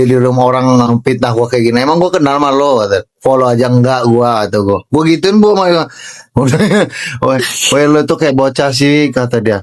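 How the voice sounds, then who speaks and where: A man in a live-stream gossip chat, stammering and repeating words, scornful when he tells a story. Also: medium pitch.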